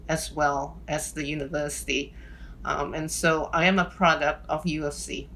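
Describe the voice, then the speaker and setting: A woman from Singapore in her fifties, giving an earnest, measured award acceptance speech. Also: low voice, fairly bright tone, a little hiss or hum, low-quality recording. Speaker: award recipient.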